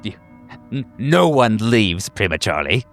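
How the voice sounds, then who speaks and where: A man voicing a fawning, sinisterly earnest group therapy doctor in a tabletop game, halting and repeating himself emphatically with nervous chuckles. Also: lowish voice, big pitch swings, faint music behind. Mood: fervent, insistent. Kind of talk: theatrical group-session address.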